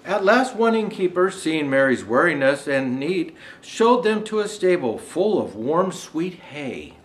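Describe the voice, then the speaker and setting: An older man reads a children's storybook aloud as Santa the storyteller. His voice sits fairly high for a man and moves up and down with plenty of expression. He phrases each line deliberately. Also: big pitch swings. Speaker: storyteller dressed as Santa Claus.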